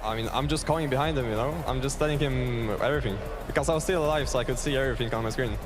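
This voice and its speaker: Quick-talking male esports player. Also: medium pitch. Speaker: esports player being interviewed. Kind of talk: casual interview answers. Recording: loud music behind the voice.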